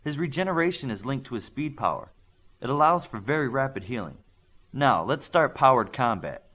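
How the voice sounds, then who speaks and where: A man's voice: medium pitch, very animated, moderate pace, a bit bright, voice pushed up. A man reading as a stern male combat trainer in an audiobook, giving clipped, commanding instructions.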